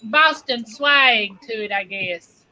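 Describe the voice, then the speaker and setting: A woman's voice: fairly high pitch, slow pace, bright, forceful tone, a little hiss or hum. A woman with a Southern US accent co-hosting a casual chat, drawing her words out and laughing often between remarks. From North Carolina. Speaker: co-host.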